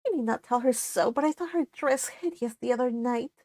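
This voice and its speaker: Woman voicing a high, haughty, bored Regency society lady. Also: brisk, warm tone, dry recording, clean studio sound, lightly acted. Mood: catty disdain. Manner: haughty, dismissive, gossipy. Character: vain, bored, disdainful young society lady in Regency novel.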